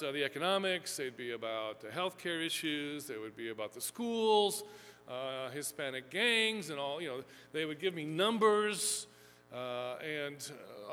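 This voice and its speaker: A man's voice: on the high side, bright, forceful tone. A man giving a rambling, filler-heavy lecture to a live audience from a fixed lectern mic, self-deprecating and chatty. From Houston, Texas, USA. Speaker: seminary professor giving a talk. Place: lecture hall. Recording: echoey hall with faint hum.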